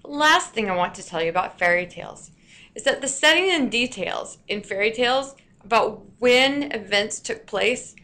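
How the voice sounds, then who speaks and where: A woman narrating a scripted lesson as a teacher, with deliberate, storyteller-like emphasis. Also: on the high side, lively intonation, pushed and bright.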